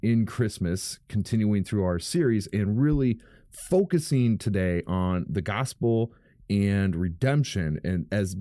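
A man, a pastor, hosting his own podcast from a home studio, speaking in a casual, frank monologue with a fairly deep, smooth voice and many restarts. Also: animated, unhurried, dark tone, no echo. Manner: rambling, frank, self-correcting with many restarts. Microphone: studio microphone.

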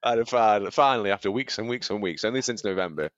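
A man with a very deep voice, made husky by a cold, chatting on a podcast and laughing at his own jokes. The sound is dry and a little dull, close to the mic. His talk is loose and self-mocking. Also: quick pace, a bit bright, dry recording. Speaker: podcast co-host, aspiring runner. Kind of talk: casual chat. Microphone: studio microphone.

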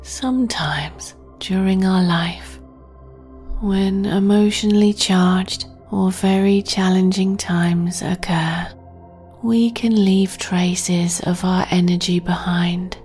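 A woman guiding a sleep meditation in a soft, breathy near-whisper, gently and with long pauses. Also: mid-range voice, steady tone. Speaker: meditation guide and podcast host. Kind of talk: guided sleep meditation, soothing narration. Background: meditation music.

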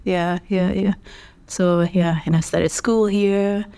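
A woman's voice: medium pitch, steady tone, slow pace, warm tone. A woman from Tanzania, Swahili her first language, chatting as an interview guest and often adding "you know" and "yeah". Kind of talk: casual interview chat.

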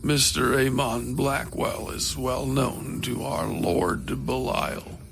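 A man's voice: mid-range voice, takes it slowly, gravelly, noisy background. A man voicing a gaunt, eerie servant of a lord in a horror story, formal and detached, tumbling through his syllables.

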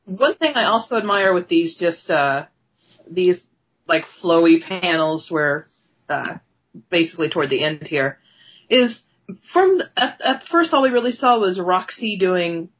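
A woman's voice: lowish voice, expressive, a little slow, dull audio. A woman co-hosting a podcast in casual discussion about comic books. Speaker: podcast co-host.

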